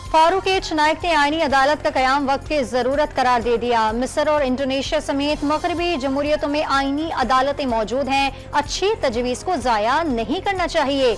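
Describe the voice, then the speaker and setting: A woman with a Pakistani Urdu accent reading scripted TV news headlines in a brisk, formal manner. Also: high-pitched. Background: news music bed.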